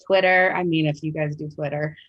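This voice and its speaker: A woman's voice: deep voice, fairly even intonation, brisk, lo-fi sound. A woman with a Persian accent, a guest singer on a livestream, chatting in a bubbly, gushing way over a video call. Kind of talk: casual livestream chat.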